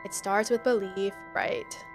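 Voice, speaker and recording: A woman's voice: mid-range voice, steady tone, a little slow. A woman game streamer playing a horror game live, reading text aloud and reacting in a dramatic, slangy way. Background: game music.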